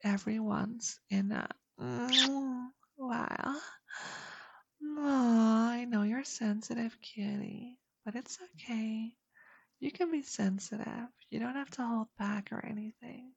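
A woman talks in a hushed, coaxing whisper, very close to the microphone. Her voice sits a little high and carries a husky, gravelly texture. She takes her time, and the sound is dry, with no room around it. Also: steady tone, slow pace, dry recording, dull audio. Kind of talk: intimate whispered audio roleplay.

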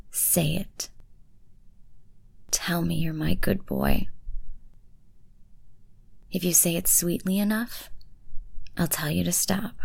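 A woman making erotic ASMR audio, speaking softly and commandingly on a close mic, often slipping into a whisper. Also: lowish voice, vocal fry, dry recording. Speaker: ASMR creator.

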